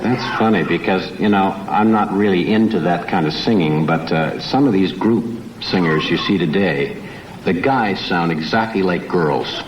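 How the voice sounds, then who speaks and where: Chatty lower-voiced male talk-show host. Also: muffled recording, some chatter behind, slight background noise. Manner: chatty, halting, many fillers. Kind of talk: casual talk-show interview. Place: TV studio. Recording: echoey 1970s TV broadcast, audience.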